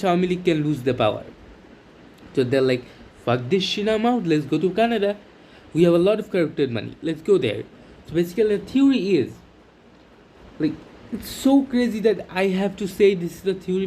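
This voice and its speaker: Young man from Bangladesh, higher voice, excited, stop-start vlog talk, slight background hiss. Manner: excited, halting, with many restarts and fillers. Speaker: vlogger. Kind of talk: casual talk to camera.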